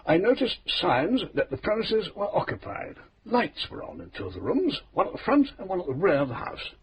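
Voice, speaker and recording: A fussy, long-winded inquiry agent in an old radio drama. His voice sits a little high and swings widely as he reports in stiff formal phrases, with a faint hiss behind. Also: wildly swooping pitch, brisk. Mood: pompous. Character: fussy pompous male private inquiry agent.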